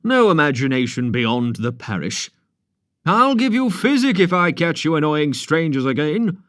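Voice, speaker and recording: A man reading aloud, voicing a brisk, bossy village doctor who talks in a loud, brusque, blustering way. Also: fairly high pitch, big pitch swings, no room sound, studio quality.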